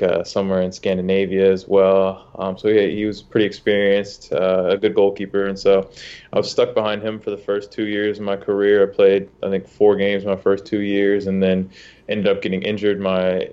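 Relaxed low-voiced young man, flat delivery. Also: clean tone, highs cut off. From United States. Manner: easygoing, many fillers and restarts. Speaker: interview guest, soccer goalkeeper. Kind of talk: casual interview talk.

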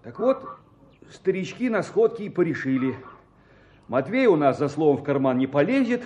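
A man's voice: on the high side, sing-song, normal speed, darkish tone. An actor playing a talkative old peasant man in a radio play, insistent and folksy, with speech. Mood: earnest, persuading. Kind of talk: Russian radio play acting. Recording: dull, noisy old radio play recording.

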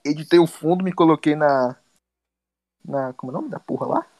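A man's voice: fairly high pitch, a little fast, darkish tone, dry recording, poor audio. A man from Brazil telling a slangy story in a casual chat.

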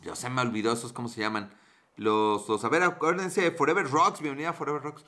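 Mexican male streamer, rambling and crude, bright forward tone. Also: medium pitch, fairly even intonation, fairly quick. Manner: joking, crude slang, rambling. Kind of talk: casual livestream chat, reading viewer comments.

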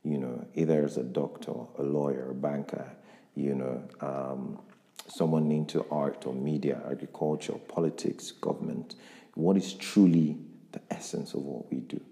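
Male podcast interviewer, deep and reflective, recorded in a reverberant room. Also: fairly even intonation, normal speed, creaky, warm tone. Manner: reflective, motivational, with frequent restarts. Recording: echoey room with long reverb.

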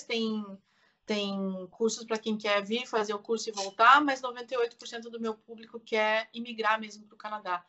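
Female guest, forties, southern Brazilian accent, chatty, very bright tone, slightly dull audio. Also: a little high. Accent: southern Brazilian Portuguese. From Curitiba, Brazil. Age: 40s. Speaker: interview guest, content creator. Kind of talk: casual chat.

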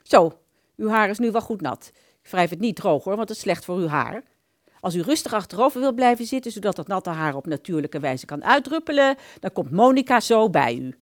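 A woman playing a brisk, matter-of-fact hair salon assistant in a radio play, businesslike with customers. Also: mid-range voice, animated, dry recording, studio quality, natural voice. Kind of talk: Dutch amateur radio play dialogue.

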